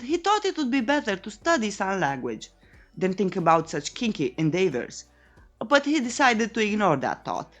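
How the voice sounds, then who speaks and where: A woman's voice: mid-range voice, a bit bright. A woman reading scripted recap narration of a comic in a cheeky storytelling manner.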